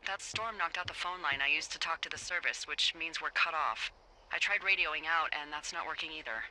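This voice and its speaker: An actress playing a wry, teasing fire lookout supervisor in a video game, talking casually and dryly over a walkie-talkie. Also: female voice, low voice, fairly quick, bright, forceful tone, slight background noise, rough recording. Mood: dry, practical. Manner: dry, playful, conversational. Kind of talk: naturalistic video game voice acting, casual banter.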